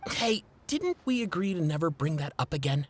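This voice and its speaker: A man playing an easygoing, joking male mercenary in a video game, with a wry, animated delivery. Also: fairly high pitch, moderate pace. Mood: embarrassed. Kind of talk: game voice acting.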